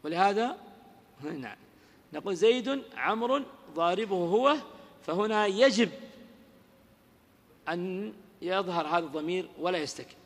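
A man teaching grammar in a lecture, quizzing his listeners with rhetorical questions and reciting verse lines slowly. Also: sits high, expressive. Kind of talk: lecture explaining a classical grammar poem. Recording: lecture audio with long hall reverb.

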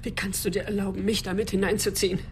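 A woman's voice: mid-range voice, flat delivery, fast talker, a little hiss or hum, lightly acted. An actress playing a proud, respectable, wounded woman in a crime radio play, earnest and reproachful. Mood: indignant, hurt. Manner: indignant, earnest.

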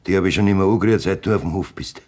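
An actor playing a domineering, lecherous farmer in a German radio play, blunt and scornful, with a Bavarian accent. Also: male voice, low voice, rapid-fire, a little mellow. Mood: urgent, lustful.

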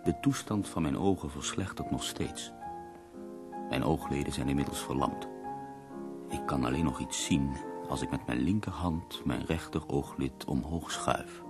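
An actor playing a gravely ill, bitterly ironic poet in a radio drama, speaking in a slow, weary, literary manner. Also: male voice, extremely low pitch, music in the background. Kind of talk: radio drama monologue and dialogue.

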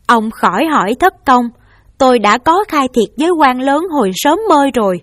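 A woman's voice: sits high, expressive, moderate pace, no echo, studio quality. A woman voicing a distraught middle-aged mother who pleads and takes the blame for her child. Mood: desperate. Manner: desperate, pleading.

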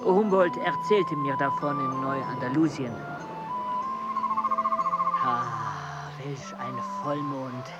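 An actor playing a calm male storyteller in a radio play, measured and unhurried. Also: mid-range voice, over loud music, natural voice.

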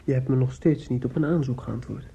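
Earnest, husky, muted young suitor. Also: male voice, mid-range voice, fairly even intonation, a little fast, mellow. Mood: earnest, insistent. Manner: probing, insistent, hesitating at times. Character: earnest young suitor, protective, quietly vengeful toward townsfolk. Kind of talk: Dutch radio play acting. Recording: muffled, lo-fi old radio recording.

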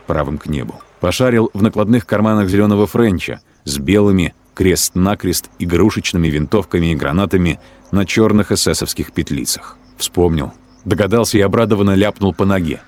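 A man narrating scripted prose for a radio play in a deliberate, descriptive storytelling manner. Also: low-pitched, a little mellow.

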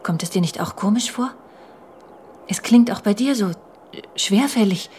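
An actress playing a brooding, suspicious middle-aged wife in an intimate radio drama, hushed and halting, often slipping into a whisper. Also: female voice, medium pitch, lively intonation, slight background noise, close to an everyday voice. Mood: brooding, needling. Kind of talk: intimate German radio drama acting, dialogue and inner monologue.

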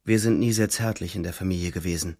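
A low-voiced young soldier narrates a radio drama in the first person. His voice has a gravelly creak, and he speaks in short, reflective phrases, haunted and withdrawn. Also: a little mellow, no echo, studio quality. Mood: heavy, moved. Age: young adult. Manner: terse, reflective, halting phrases.

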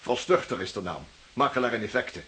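An actor playing an indignant, pompous stockbroker in a radio drama, blustering and stammering over repeated words. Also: male voice, on the low side, expressive, quick pace, fairly bright tone, a little hiss or hum. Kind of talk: Dutch radio drama acting.

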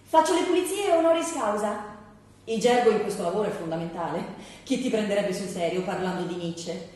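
A woman's voice: medium pitch, a little fast, a little mellow, pitched below the performer's own voice, lightly acted. A woman playing an over-agreeable, desperate job applicant in her thirties, gushing and repetitive in a theatrical satirical monologue. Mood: perky, insistent. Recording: very echoey stage, distant mic, faint noise.

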